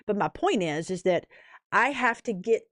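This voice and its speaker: A woman's voice: mid-range voice, expressive, moderate pace, a bit bright, no echo, studio quality. A woman hosting a livestream, chatting casually and rambling to viewers, emphatic and often checking in with short tag questions. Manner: emphatic, often tags points with "okay?" and "right?". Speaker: YouTube livestream host.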